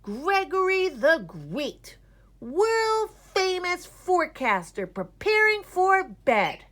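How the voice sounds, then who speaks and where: A woman voicing a boastful, excitable young boy groundhog in a children's storybook, loud and gloating. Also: very high-pitched, takes it slowly, pitched above the performer's own voice, exaggerated voice. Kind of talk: children's storybook read-aloud.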